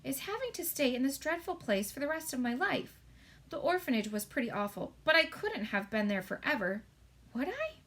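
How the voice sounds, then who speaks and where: A woman's voice: high-pitched, sing-song, normal speed, fairly bright tone, pitched above the performer's own voice, full character voice. A woman voicing a plucky, plain-spoken orphan girl in a children's storybook, frank and stoical. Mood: worried.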